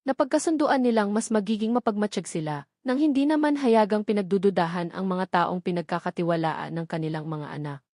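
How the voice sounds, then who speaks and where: A woman's voice: mid-range voice, lively intonation, fairly quick, darkish tone, dry recording, studio quality. A woman with a Filipino accent reading a scripted story as the narrator of an audio drama.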